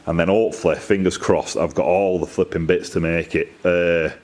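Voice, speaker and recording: A man's voice: sits low, steady tone, normal speed, darkish tone. A man with a Northern English accent rambles casually to camera in a colloquial, self-deprecating way, recorded in a workshop. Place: metalworking workshop, indoors.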